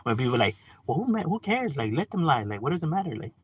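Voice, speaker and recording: A man's voice: a little high, fast, breathy edge. A man hosting a livestream, arguing casually and animatedly, calling listeners "bro" and laughing between points. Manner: animated, argumentative, addresses chat as "bro"; frequent laughs and filler "you know". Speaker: livestream host.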